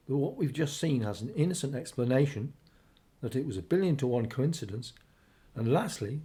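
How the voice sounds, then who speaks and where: A man's voice: mid-range voice, warm tone, close to an everyday voice. A man playing a reassuring but secretive father in a radio play, paternal and coaxing.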